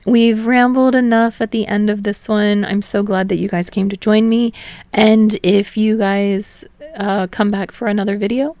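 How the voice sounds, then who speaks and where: A young adult woman hosting and narrating fan fiction audio, speaking in an expressive, conversational storytelling style. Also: mid-range voice, normal speed, no echo. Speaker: podfic narrator and channel host. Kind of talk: expressive story narration and casual chat to listeners.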